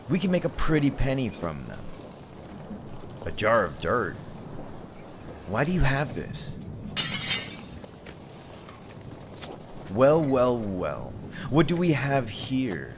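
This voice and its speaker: A deep-voiced, ruthless pirate captain, acted by a man in an audio roleplay. He speaks slowly and theatrically, with big swings in pitch, mock-gracious and menacing as he taunts his captive. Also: noisy background, heavily acted. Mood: greedy, appraising. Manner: theatrical, taunting, drawn-out delivery. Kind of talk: scripted ASMR roleplay acting.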